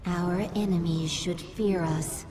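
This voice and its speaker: A woman playing a loyal alien adviser in a video game, solemn, formal and measured. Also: lowish voice, steady tone, darkish tone, a little hiss or hum. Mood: proud, menacing. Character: loyal alien female adviser to the swarm queen. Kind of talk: video game character acting.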